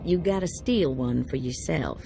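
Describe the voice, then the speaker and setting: Breezy streetwise handler, lowish voice, brisk, faint music. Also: female voice, animated, vocal fry, dark tone, exaggerated voice.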